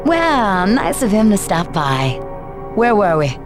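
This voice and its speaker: A woman's voice: medium pitch, very animated, slow pace, music in the background. An actress playing a probing female interrogator in a video game, dry and questioning. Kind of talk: video game cutscene acting.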